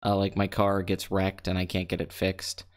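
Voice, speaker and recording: A man in his twenties from Pennsylvania, hosting a live stream alone in an empty apartment and talking low and calm into a microphone with a flat, deadpan delivery. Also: moderate pace. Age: 20s. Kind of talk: casual solo live-stream talk. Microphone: desk microphone.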